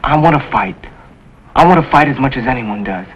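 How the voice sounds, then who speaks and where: An actor playing an earnest young American Navy recruit who speaks hesitantly, in 1950s television drama style. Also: male voice, mid-range voice, animated, brisk, slightly rough. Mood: troubled, confessional. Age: young adult. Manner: hesitant, repeats himself earnestly. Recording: dull, noisy 1950s television soundtrack.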